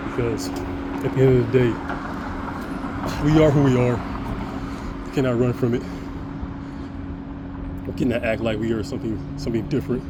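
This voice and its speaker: A man with a deep voice giving an earnest, rambling monologue to camera, restarting and stammering often. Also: fairly even intonation, moderate pace, long pauses, heavy background noise. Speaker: vlogger.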